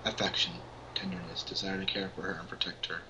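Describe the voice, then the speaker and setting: Lower-voiced man on a noisy recording. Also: little pitch movement, fast. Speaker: amateur let's-play co-host. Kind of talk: reading visual novel text aloud with casual reactions. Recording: noisy amateur home recording.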